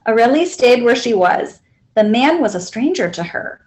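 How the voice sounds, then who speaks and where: A woman's voice: a little high, very animated, normal speed, darkish tone. A woman reading a children's picture book aloud as a library storyteller.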